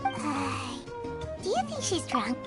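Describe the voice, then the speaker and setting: A woman's voice: wildly swooping pitch, takes it slowly, full character voice. A woman voicing a tiny, childlike female fairy companion who is eager, chatty and bubbly, in an anime video game. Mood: puzzled. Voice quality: squeaky, childlike. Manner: bubbly, refers to self in third person. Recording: loud game music behind the voice.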